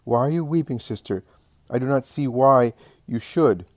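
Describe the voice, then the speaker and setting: A man's voice: mid-range voice, normal speed, dry recording, studio quality, natural voice. Man as a fierce, thundering, defiant woman. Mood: scornful, proud. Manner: thundering, commanding. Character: fierce, defiant woman of absolute, imperious faith.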